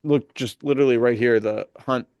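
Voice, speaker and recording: A man's voice: medium pitch, fairly even intonation, brisk, a little mellow, no echo, dull audio. A man giving his opinions in a loose, conversational way as a panelist on a podcast. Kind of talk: casual opinionated chat.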